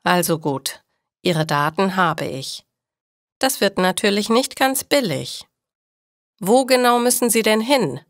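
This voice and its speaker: A woman's voice: mid-range voice, lively intonation, slow, no room sound, studio quality. A woman voicing a firm but sympathetic male police officer in a learners' storybook, official and emphatic. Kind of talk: audio storybook dialogue for learners.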